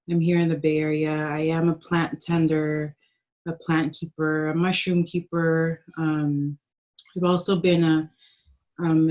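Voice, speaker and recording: A woman from the northern Philippines, a guest in an interview, speaking slowly and thoughtfully with many pauses. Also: low voice, a little mellow. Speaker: guest, herbalist and organizer. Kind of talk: reflective interview talk. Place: home, in the Bay Area.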